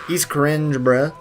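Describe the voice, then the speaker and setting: A man live-streaming a game, commenting in a joking, playful way with lots of slang. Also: on the high side.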